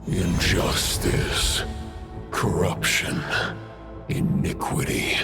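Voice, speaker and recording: A man voicing an ancient wolf spirit, stern and judging, who speaks in a deep, slow, solemn voice. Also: completely flat delivery, music in the background.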